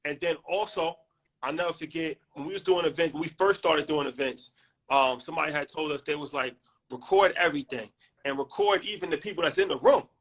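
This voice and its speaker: A male podcast host tells stories in quick, animated bursts. His voice is fairly high, with a crisp, forceful brightness. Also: poor audio. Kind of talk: casual conversation.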